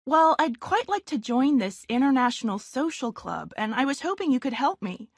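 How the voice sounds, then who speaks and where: A woman's voice: high voice, sing-song, normal speed, dry recording, exaggerated voice. A woman playing a friendly young student. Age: 20s. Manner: chatty and polite, clear careful spelling. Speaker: club applicant in a listening test recording. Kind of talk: scripted exam dialogue. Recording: dry studio sound.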